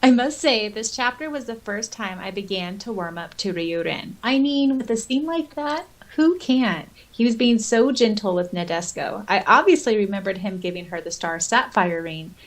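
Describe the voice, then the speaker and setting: A woman co-hosting a podcast in a casual fan chat, sometimes reading comments aloud. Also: mid-range voice. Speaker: podcast host.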